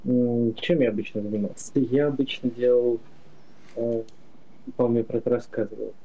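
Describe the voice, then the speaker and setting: A man with a middle-pitched voice reminisces on a podcast, pausing often with drawn-out hums. The recording sounds slightly dull and lo-fi. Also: fairly even intonation, mellow. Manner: reflective, pauses with drawn-out hums. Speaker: podcast co-host. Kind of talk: casual reminiscing chat.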